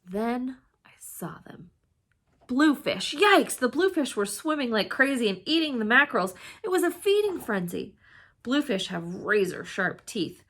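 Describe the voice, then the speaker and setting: Female storybook reader, slightly high pitch, swooping expressive delivery, dramatic emphasis for young listeners. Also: measured pace. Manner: dramatic, with emphatic exclamations and hushed suspense. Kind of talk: expressive children's read-aloud narration.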